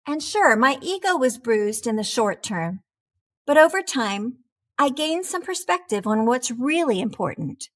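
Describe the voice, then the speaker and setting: Casting: female reader as a proud talking cheese narrating a storybook, high-pitched, theatrical, unhurried. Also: heavily acted. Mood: calm, reflective. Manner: theatrical, expressive, deliberate delivery. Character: proud, dramatic cheddar wheel learning humility, storybook narrator. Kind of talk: animated children's storybook read-aloud.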